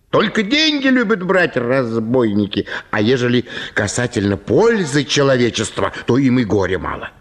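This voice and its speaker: A little old landowner down on his luck in a radio play. He flatters and gushes in florid, theatrical phrases, his highish voice swinging widely up and down. He takes his time over the words. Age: older. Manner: florid, theatrical, gushing obsequiousness. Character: small old impoverished landowner, effusive, fawning, grateful flatterer. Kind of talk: radio play acting of classic comic story.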